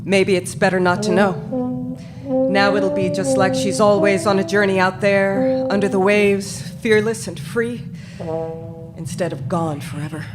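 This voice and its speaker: A woman's voice: mid-range voice, unhurried, loud music behind the voice, lightly acted. A woman playing a gracious, wistful submarine captain in a stage radio drama, composed and formal. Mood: resigned, wistful. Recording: live stage with background noise.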